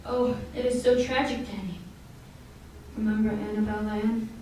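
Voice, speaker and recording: A woman playing a genteel, wealthy gentleman in old-time radio drama style. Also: medium pitch, steady tone, normal speed, lightly acted. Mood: genteel, troubled. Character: genteel wealthy male estate owner hosting a reunion. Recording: very echoey hall, distant mic, faint noise.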